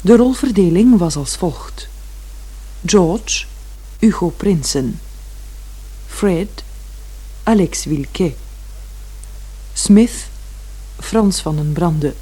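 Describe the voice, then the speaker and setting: A woman announcing radio play credits in a formal, measured scripted manner. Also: fairly low pitch, expressive, dark tone, husky, rough recording.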